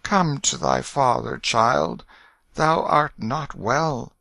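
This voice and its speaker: A man voicing a stern old king turned gentle, anxious father in an audiobook, with stately, coaxing archaic speech. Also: medium pitch, sing-song, takes it slowly, no echo, voice pushed up, exaggerated voice. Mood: tender pleading. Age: older. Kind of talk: audiobook character voice.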